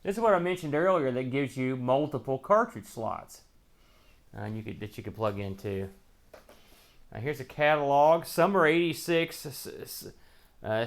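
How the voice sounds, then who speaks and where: An American man hosting a casual unboxing, rambling and thinking aloud as he handles each item. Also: a little high. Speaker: host of a retro computing show.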